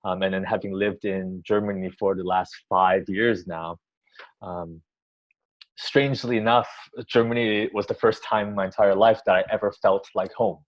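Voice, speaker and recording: A man, born in Taiwan, giving thoughtful interview answers with many pauses, restarts and ums. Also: fairly low pitch, steady tone. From Taiwan, raised in the US. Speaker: orchestra music director. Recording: dull lo-fi sound.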